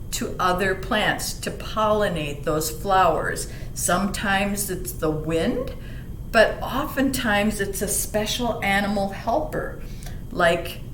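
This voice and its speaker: A woman reading aloud and explaining to children in a gentle, teacherly manner, stressing key words. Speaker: library story reader. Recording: roomy echo, faint rumbling background noise.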